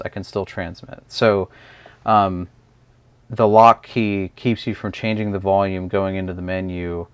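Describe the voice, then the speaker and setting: A man with a fairly low voice talks through a gadget in a casual review style. His delivery is unscripted and hesitant as he explains things. The sound is dry and close, with little room to it. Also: no room sound. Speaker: product reviewer.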